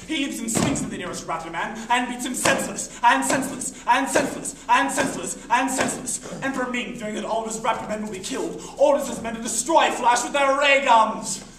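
A young man giving energetic, declamatory narration in the style of a radio adventure serial. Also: very high-pitched, brisk, few pauses. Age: young adult. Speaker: performer narrating. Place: classroom, indoors. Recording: echoey classroom, distant noisy camera.

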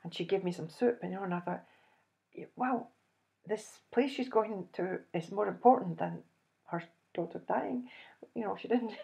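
A woman in her fifties with a Scottish accent, telling a personal story to camera in an animated, chuckling way. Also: lowish voice, creaky, highs cut off. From Scotland. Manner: animated, chuckles often, acts out dialogue. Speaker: host. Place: indoors, small room, plain backdrop.

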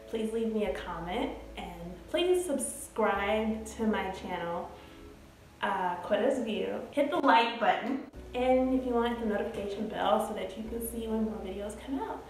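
Female vlogger, thirties, chatty and rambling storyteller, mid-pitched, heavily reverberant room. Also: gravelly, a little mellow. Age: 30s. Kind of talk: casual personal storytelling to camera. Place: bare-walled room indoors. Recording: very echoey room, music behind. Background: background music.

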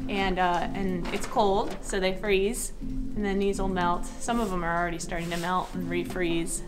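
A woman's voice: lowish voice, little pitch movement, normal speed. A woman in her thirties giving casual travel narration on camera inside a cave. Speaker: travel vlogger. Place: inside a large limestone cave. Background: background music.

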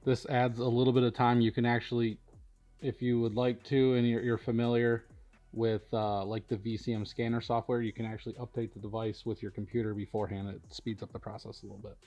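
A man's voice: mid-range voice, flat delivery, a little fast, warm tone, muffled recording, soft background music. A middle-aged man giving a casual, matter-of-fact walkthrough in an informal way, recorded indoors in a workshop. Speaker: product demonstrator at a powersports tuning shop. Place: large indoor vehicle workshop.

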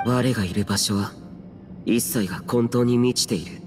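A man's voice: mid-range voice, normal speed, natural voice. A man voicing a youthful, aloof immortal warrior in a Japanese anime game, terse, solemn and archaic in speech. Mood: stern. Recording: music behind.

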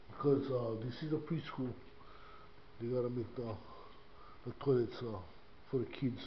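An older man from Hawaii, rambling with many fillers as he shows the church he cleans. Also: medium pitch. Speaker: church custodian vlogger. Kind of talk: casual walkthrough commentary. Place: inside a small church building. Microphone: body-worn action camera. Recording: dull lo-fi camera audio with faint noise.

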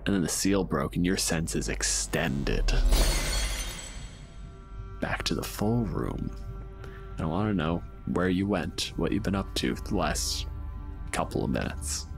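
A man's voice: sits low, a little slow, creaky, pauses often, music in the background. A man narrating a tabletop game as game master in a conversational, descriptive storytelling way.

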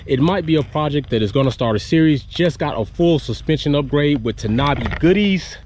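A man's voice: fairly high pitch, expressive, normal speed, darkish tone. A man in his thirties hosting a casual product review vlog outdoors in a driveway, talking bluntly and animatedly and swearing casually while holding the camera at arm's length. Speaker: car channel host. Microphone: handheld camera held at arm's length.

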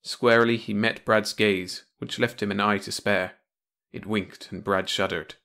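A man's voice: lowish voice, steady tone, unhurried, gravelly, bright, forceful tone. A man reading a comic pulp science-fiction short story as scripted audiobook narration.

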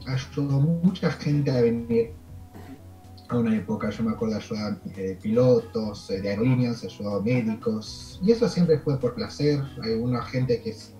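A Canadian man whose first language is French talks with an Argentine accent, his voice sitting in a middle register. He tells long stories in a loose, rambling way, with many small pauses and fillers. The sound comes through an echoey room, and soft music plays behind him. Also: medium pitch. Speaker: immigration consultant. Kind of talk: interview over video call.

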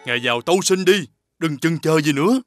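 Guy playing the prince's loyal worried sidekick in a kids cartoon, bit high pitched, faint music. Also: expressive, fairly quick, natural voice. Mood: urging. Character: loyal, concerned servant companion of a prince. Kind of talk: animated fairy-tale dubbing.

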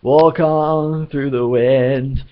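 A man's voice: mid-range voice, moderate pace, husky, muffled recording. A man voicing a cheery, chummy male ship's computer that whines nasally when it sings. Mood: crooning. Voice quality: nasal, whining when singing. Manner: chummy, calls everyone guys. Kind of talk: audiobook reading.

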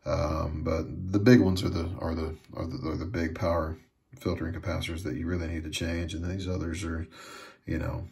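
A man giving a casual hands-on repair how-to, talking haltingly, repeating words and trailing off. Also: sits low, little pitch movement, vocal fry, a little mellow. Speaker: hobbyist demonstrating electronics repair. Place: indoors at a workbench.

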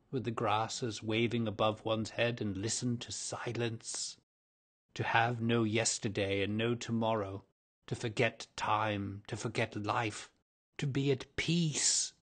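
A man voicing a lonely, weary old male ghost who talks meekly and longs for rest, with a husky, dreamy voice. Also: lowish voice, a bit bright. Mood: longing. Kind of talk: audiobook drama reading.

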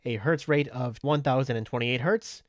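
Male tutorial presenter. Methodical, step-by-step instruction, mid-pitched, recorded dry and close. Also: normal speed, no room sound. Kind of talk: step-by-step software tutorial narration.

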